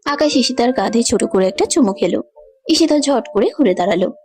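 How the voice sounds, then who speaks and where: Woman narrator with a fairly high voice. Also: lively intonation, brisk, darkish tone. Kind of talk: scripted story narration.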